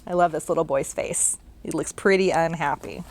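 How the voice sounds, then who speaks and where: A woman's voice: sits low, normal speed, background noise. A children's librarian, a woman, reading a picture book aloud and asking young listeners questions along the way.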